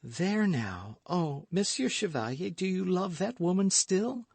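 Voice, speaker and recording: A man's voice: sits high, animated, a little slow, vocal fry, a little mellow, breathy edge, no echo, voice pushed up, exaggerated voice. A man voicing a frightened, lovestruck young maidservant in an audiobook, with a pleading, devoted manner. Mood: devoted, wistful. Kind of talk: audiobook character acting.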